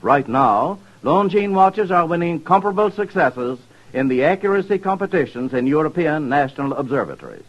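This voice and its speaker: A man's voice: a little high, sing-song, moderate pace, few pauses, lo-fi sound. A formal, polished American man reading a scripted mid-century radio commercial on an old recording. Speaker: commercial announcer. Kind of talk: 1950s radio advertisement, scripted.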